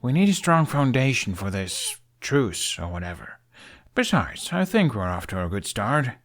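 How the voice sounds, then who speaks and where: A man voicing an eager, nervy teenage Viking boy in an audiobook, animated and halting as he thinks aloud. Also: medium pitch, pitched above the performer's own voice. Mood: insistent, confident.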